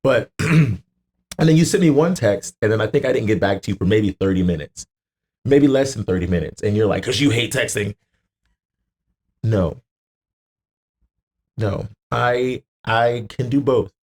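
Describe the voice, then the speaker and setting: A man's voice: fairly low pitch, unhurried, pauses often, a little mellow. A man in a casual podcast chat, slangy and emphatic, telling exasperated stories with repetition and swearing. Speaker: podcast guest.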